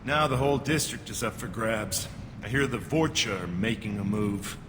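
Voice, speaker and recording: An actor playing a gruff, cynical alien checkpoint guard in a game, talking in a dry, curt and dismissive way. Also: male voice, fairly low pitch, moderate pace, lightly acted. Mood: matter-of-fact. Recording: reverberant, with loud background noise.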